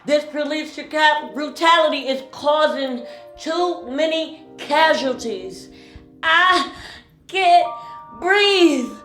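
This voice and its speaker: A woman performing spoken word poetry with a slow, pleading, mournful delivery. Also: high-pitched, lively intonation, a bit bright, roomy sound, soft background music.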